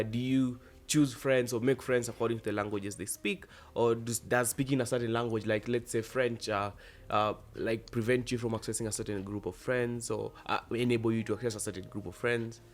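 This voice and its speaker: A man's voice: medium pitch, steady tone, brisk. A young man interviewing a guest, asking long, winding questions full of fillers. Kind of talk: casual interview.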